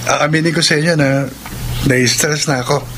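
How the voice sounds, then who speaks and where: An actor plays a young Filipino office man in a radio drama, polite and protective. His voice sits a little high, earnest and deferential, over clearly noisy ambience. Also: fairly even intonation, normal speed, lightly acted. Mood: hesitant, deferential.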